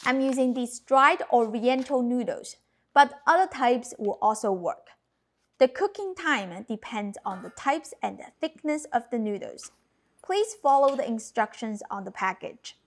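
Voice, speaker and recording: A woman in her thirties whose first language is Cantonese, narrating a cooking lesson with clear step-by-step instructions. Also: on the high side, animated, unhurried. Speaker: cooking channel host. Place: home kitchen.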